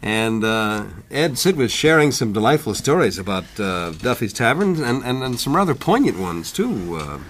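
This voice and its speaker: A man presents a radio interview program. He has a medium-pitched voice and speaks in a conversational hosting style. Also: sing-song, normal speed, hardly any pauses, a bit bright, slight background noise. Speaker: radio host. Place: radio studio.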